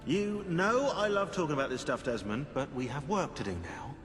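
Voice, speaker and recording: An actor with a British accent playing a sarcastic, grumbling scholarly historian in a video game cutscene, wordy and theatrically exasperated. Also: male voice, on the high side, big pitch swings, moderate pace. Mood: pedantic. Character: sarcastic, grumbling scholarly male historian in a modern assassin team. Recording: long hall reverb, music behind.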